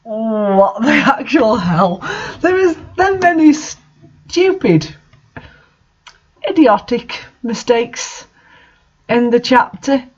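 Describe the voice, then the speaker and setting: A man's voice: extremely high pitch, sing-song, drawn-out words, pauses often, slightly rough, highs cut off. A man reading fan fiction aloud and commenting on it with exasperated, incredulous asides. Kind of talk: mocking dramatic reading with commentary.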